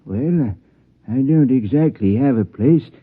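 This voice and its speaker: A man's voice: mid-range voice, animated, unhurried, slightly rough. An actor playing a shabby, humble old man looking for work in a vintage radio drama, hesitant and meekly polite. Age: older. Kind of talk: 1950s radio drama acting. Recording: tinny, narrow old radio recording.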